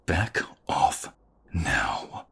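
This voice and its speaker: A man acting as a protective man in love in an ASMR roleplay, moving between intimate murmurs and forceful lines. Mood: menacing. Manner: intimate, slow, swinging from soft murmur to forceful. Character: protective young man in love, tender but fierce.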